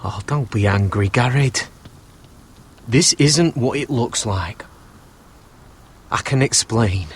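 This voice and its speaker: An actor playing an anxious young wildling brother in a game drama, earnest, pleading and husky. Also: male voice, medium pitch, slow, long pauses. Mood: pleading, guilty. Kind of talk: video game drama acting.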